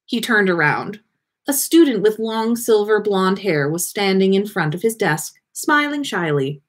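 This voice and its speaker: A woman reading fan fiction aloud as an expressive storyteller. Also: medium pitch, unhurried.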